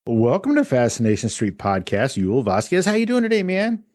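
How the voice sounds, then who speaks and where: A man hosting a podcast, gushing with enthusiasm and quick asides. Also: mid-range voice, wildly swooping pitch, darkish tone, no room sound, clean studio sound, soft background music. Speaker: podcast host. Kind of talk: casual podcast interview.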